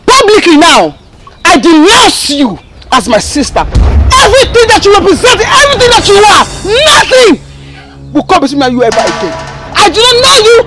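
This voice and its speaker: A jilted young woman, her very high voice swinging wildly as she stammers out threats and sobs. Loud music runs underneath. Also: wildly swooping pitch, unhurried, a loud crowd, full character voice. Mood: vengeful. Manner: shrieking, stammering threats, tearful.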